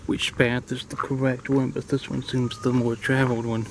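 A middle-aged man casually narrating a hike on a wooded lakeside trail in a relaxed, admiring way, recorded on a handheld camera. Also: mid-range voice, normal speed, rough recording. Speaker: hiking vlogger. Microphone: handheld camera mic, speaker off camera. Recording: faint outdoor noise.

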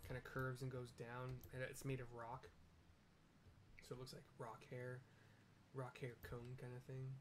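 A middle-aged man casually describing what happens in a video game as he plays, in a halting, conversational way. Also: medium pitch, completely flat delivery, background noise. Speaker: game streamer, narrator. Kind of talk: casual play-by-play with audio description of gameplay. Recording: loud game music behind voice. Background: loud game music.

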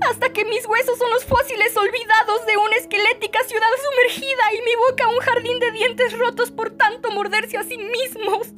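A woman's voice: extremely high pitch, fairly even intonation, normal speed, hardly any pauses, over music. A woman with a Latin American accent playing an anguished young woman confessing to her mother, in an emotive, pleading spoken-word delivery. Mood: tearful, weary. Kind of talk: dramatic spoken-word poetry performance, fan dub.

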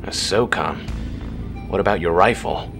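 Male actor as special forces sergeant, deep, creaky, self-assured, precise, audio drama. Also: a little slow, music in the background. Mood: cocky, eager. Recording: noisy helicopter ambience behind voice.

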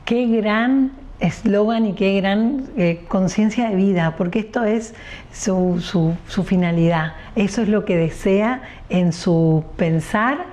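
A woman's voice: mid-range voice, slow pace, mellow. A woman hosting a TV interview in a studio living-room set, speaking with effusive, emphatic praise. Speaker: TV host.

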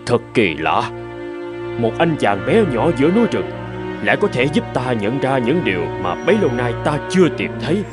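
Casting: male actor for earnest man of an old cartoon fable, declamatory, very lively intonation, music underneath. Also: medium pitch, normal speed, natural voice. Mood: thoughtful. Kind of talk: animated folk tale dub. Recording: music behind the voice.

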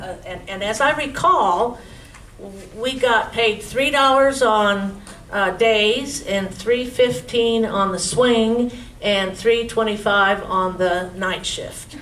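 A woman's voice: mid-range voice, slight background noise. Older woman telling work stories, roomy. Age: 70s. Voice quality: loud voice. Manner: chatty, animated storyteller with gestures. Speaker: guest speaker. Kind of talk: recounting memories to an audience. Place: community museum meeting room, indoors. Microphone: handheld mic, far camera. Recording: roomy, distant camera audio.

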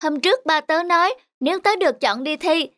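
Eager cartoon schoolboy, really high boyish voice. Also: female voice, lively intonation, a little fast, fairly bright tone, dry recording, studio quality. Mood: excited. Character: eager schoolboy athlete attached to his parents. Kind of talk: animated moral-tale voice acting.

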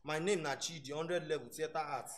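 A man's voice: a little high, normal speed, lightly acted. A young man with a Nigerian accent playing an eager, timid university fresher in a radio drama. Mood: casual, friendly. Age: young adult. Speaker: actor.

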